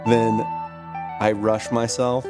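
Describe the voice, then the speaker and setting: A man's voice: fairly low pitch, little pitch movement, takes it slowly, over loud music. A tired young man with a slightly lost voice, chatting casually on a live art stream and telling a rambling story with lots of "like". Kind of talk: casual chat.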